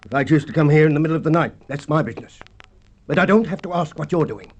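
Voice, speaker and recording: A man's voice: a little high, lively intonation, quick pace, lightly acted. An actor playing a stern, indignant old businessman with an Australian accent in old-time radio drama. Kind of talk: Golden Age radio drama acting. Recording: dull, hissy old radio recording.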